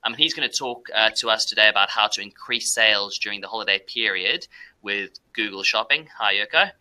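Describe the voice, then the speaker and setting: Male webinar host, low voice with a sharp edge, hesitant delivery full of fillers. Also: fairly quick, low-quality recording. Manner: hesitant, many ums and uhs. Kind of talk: webinar introduction.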